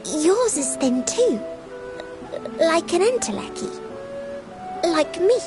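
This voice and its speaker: A woman voicing an innocent, curious, girlish created being in a fantasy game, in short, halting phrases. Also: very high voice, big pitch swings, exaggerated voice. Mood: innocent, wondering. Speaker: voice actor. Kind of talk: scripted fantasy game dialogue. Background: background music.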